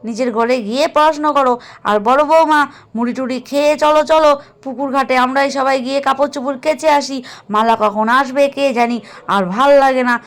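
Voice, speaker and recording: Scolding old grandmother, fussy and shrill; a woman voices her. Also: moderate pace, close to an everyday voice. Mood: nagging. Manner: nagging, exasperated.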